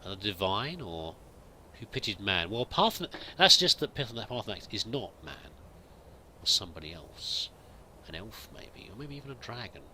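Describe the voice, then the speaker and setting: A man's voice: lowish voice, sing-song, normal speed, pushed and bright, slight background noise, rough recording. A man giving in-character let's play commentary, musing aloud and muttering to himself as he goes. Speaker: host of a roleplay let's play.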